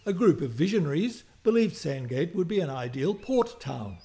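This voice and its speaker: A man giving measured, scripted documentary narration. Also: on the high side, big pitch swings, warm tone. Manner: measured, informative.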